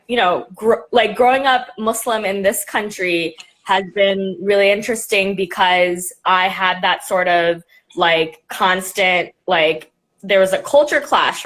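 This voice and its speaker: A woman's voice: mid-range voice, a bit bright. A young woman hosting a live video-call interview, talking casually and rambling, with many fillers. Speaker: host, nonprofit director.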